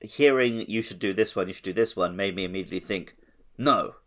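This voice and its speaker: A man's voice: low voice, fairly quick, a bit bright. A man hosting a podcast, talking casually and conversationally about video games. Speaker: podcast host. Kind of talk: casual video game chat.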